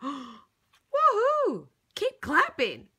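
A woman's voice: very high-pitched, wildly swooping pitch, drawn-out words, pushed and bright, a little hiss or hum. A woman reading a picture book aloud to young children, theatrical and encouraging, with exaggerated gasps and exclamations. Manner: encouraging, coaxing, addressing the child listener. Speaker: children's storybook reader.

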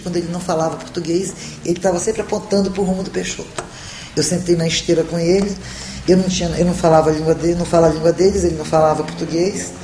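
A woman's voice: lowish voice, a little mellow, roomy sound, slight background noise, low-quality recording. A middle-aged woman journalist in a documentary interview, speaking reflectively with hesitations.

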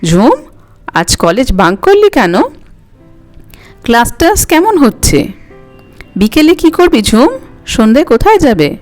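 Lady doing a nosy auntie grilling someone, high voice, story narration w music. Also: very animated, a little slow, pauses often, a little mellow. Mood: prying. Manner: prying, question after question. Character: nosy aunt interrogating her college-age niece. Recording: music behind the voice.

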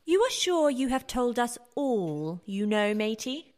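An actress playing a pert, sceptical young lady in a radio play, briskly questioning. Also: on the high side, big pitch swings, a little mellow. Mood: pert, doubtful.

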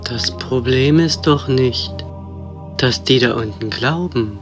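A man acts out a hippie peace god in a parody audio drama. He drawls extremely slowly and casually, utterly relaxed, with music playing underneath. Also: mid-range voice, close to an everyday voice. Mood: relaxed, musing. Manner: slow, drawling slacker talk.

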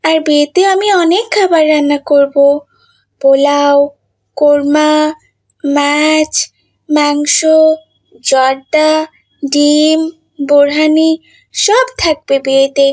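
A woman voicing an excitable, bossy little girl in a children's cartoon, chatty and expressive. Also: high voice, takes it slowly, pauses often. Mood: playful.

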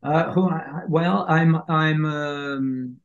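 Older guy on a video call, slow and halting, lots of ums. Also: a little high, highs cut off. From Scotland. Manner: slow, hesitant, many fillers. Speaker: film composer. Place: home room.

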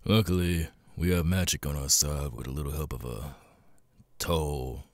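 A man voicing a gruff, world-weary cat demon bartender in an ASMR audio roleplay, raspy and laconic, with casual swearing and long pauses. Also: steady tone, no echo. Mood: weary, matter-of-fact. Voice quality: raspy, very deep gravelly voice. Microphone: close, dry studio mic.

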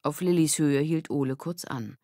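German woman reading a kids audiobook, standard accent, low voice, really dry close sound. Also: moderate pace, warm tone, no echo. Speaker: audiobook narrator. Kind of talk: scripted children's story narration.

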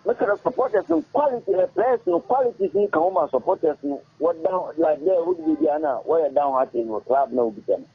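A middle-aged Ghanaian man talks at a studio mic in a raspy, gravelly voice that swings widely up and down. He speaks haltingly, repeats himself and mixes languages as he goes. The sound is thin and boxy, as if heard over a phone line. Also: on the high side, very animated. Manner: halting, repetitive, switches languages mid-sentence. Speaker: interview guest, former footballer. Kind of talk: casual interview talk. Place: radio studio. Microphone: studio microphone on arm. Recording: muffled phone-like audio.

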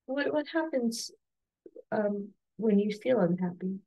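A woman in her forties, slightly hoarse, gently interviewing her son at home on a video call with soft, encouraging questions and restarts. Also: medium pitch, lively intonation, measured pace, low-quality recording. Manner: soft, encouraging, with frequent restarts and light laughs. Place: home room with bookshelves.

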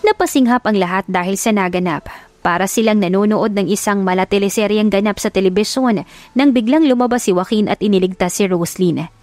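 A woman's voice: medium pitch, darkish tone, no echo. A woman reading a scripted love story as a dramatic audiobook narrator.

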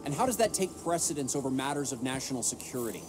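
An actor playing an accusatory American government official, formal and prodding, in a video game. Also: male voice, mid-range voice, expressive, fast, lightly acted. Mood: accusing. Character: accusatory male government official, smug and pressing. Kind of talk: cinematic video game acting. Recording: music behind the voice.

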